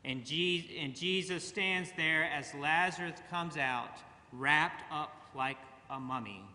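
A middle-aged man preaching a sermon from a church pulpit, slow and measured with long pauses. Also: on the high side, bright, forceful tone. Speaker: pastor. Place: large church sanctuary, at a pulpit. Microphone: pulpit mic, far camera. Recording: church hall reverb.

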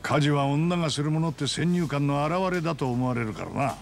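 An actor playing a frank, worldly man who gives tough advice in rough, matter-of-fact speech in a game. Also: on the high side, lively intonation, brisk. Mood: grim, frank. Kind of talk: dramatic Japanese video game voice acting.

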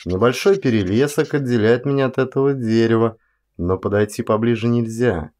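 Man narrating an expressive dramatic audiobook. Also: medium pitch, moderate pace.

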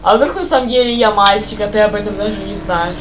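A woman's voice: mid-range voice, fast, faint music behind. Rambling young woman gamer chatting. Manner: rambling, asks repeated questions. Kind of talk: casual chat while playing.

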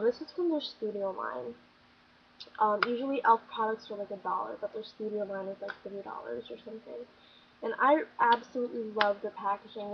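A young woman talks through her favorite products in a chatty, gushing way, her voice a bit scratchy as she comes down with something. She sits in a small bedroom speaking into a built-in webcam mic. The sound is dull and lo-fi, with a faint hiss of room noise behind her. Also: mid-range voice. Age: young adult. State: slightly scratchy, getting sick. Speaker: beauty vlogger. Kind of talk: casual product review chat.

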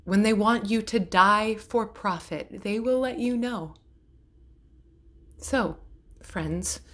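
A woman reading poetry aloud in a measured, deliberate way, pausing between phrases. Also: medium pitch. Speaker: poetry reader. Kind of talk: poetry recitation.

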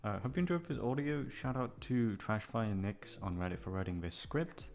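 Guy doing a casual outro, low n gravelly, rambly, puts himself down. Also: normal speed, darkish tone. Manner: rambling, self-deprecating, sweary. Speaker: audio roleplay voice actor. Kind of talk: casual unscripted outro chat.

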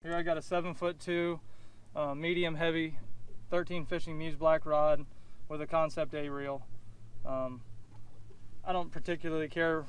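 Relaxed man explaining fishing gear outdoors. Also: fairly high pitch, moderate pace, pauses often, clear, resonant tone. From Central Florida. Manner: relaxed, matter-of-fact, thinks aloud while rigging. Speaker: fishing instructor. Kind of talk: casual how-to demonstration. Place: outdoors on a boat on a lake.

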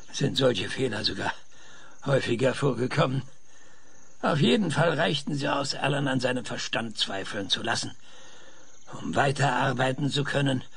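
An actor playing an older, insistent professor with a raspy voice in a German radio drama, explaining things emphatically and dramatically. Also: male voice, medium pitch, a bit bright, heavily acted. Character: frail, excitable old male professor, insistent and visionary. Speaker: professor being interviewed.

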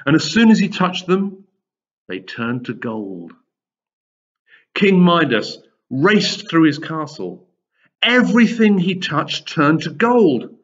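A middle-aged man tells a children's story in a fairly high, swooping voice. He takes his time, leaning on key words with dramatic pauses. The sound is a little dull and lo-fi. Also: a bit bright, slightly rough. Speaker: storyteller reading a Greek myth for pupils. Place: large indoor room with high wooden ceiling.

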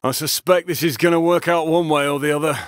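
An actor playing a foul-mouthed, cocky, battle-hardened British criminal in a video game, with a brash, punchy delivery. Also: male voice, a little high, fairly even intonation, fast talker, clear, resonant tone, no echo, studio quality, close to an everyday voice. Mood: dry, offhand. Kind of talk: video game voice lines, barked callouts.

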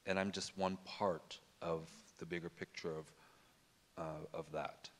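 Low-voiced man in a reverberant hall. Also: level tone, a little slow. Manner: hesitant, many fillers and restarts. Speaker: fashion designer on a panel. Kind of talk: reflective panel talk. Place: stage in a large auditorium. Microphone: handheld mic. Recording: long reverb of a large hall.

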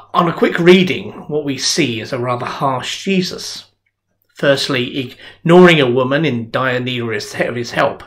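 A man's voice: on the high side, a bit bright. A middle-aged man preaching a sermon from a small home study, recorded on a desk webcam. His delivery is conversational and earnest, like telling a story to friends. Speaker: minister. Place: home study with bookshelves, indoors.

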